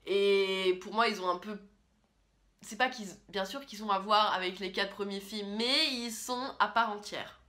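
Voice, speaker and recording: Young woman chatting about movies to her cam, bright clear voice, opinionated, lots of ums. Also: mid-range voice, measured pace. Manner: chatty, opinionated, with frequent fillers. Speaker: film critic host. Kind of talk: casual film review vlog. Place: small room indoors.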